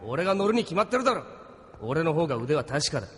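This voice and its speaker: A man's voice: a little high, very animated, fast talker, a little hiss or hum. A man playing a cocky, wry crewman and pilot in a Japanese audio drama. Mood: cocky, sardonic. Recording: long hall reverb.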